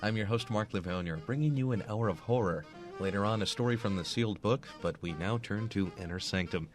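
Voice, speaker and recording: A man with a fairly low voice presents a radio show from a studio. His delivery is scripted, and music plays faintly underneath. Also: fairly quick. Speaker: radio program host.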